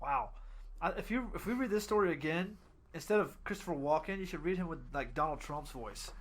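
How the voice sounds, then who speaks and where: A man's voice: sits high, animated, a little fast. A man co-hosting a podcast, chatting casually in an incredulous, joking manner. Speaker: podcast co-host. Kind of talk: casual comic chat. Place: garage.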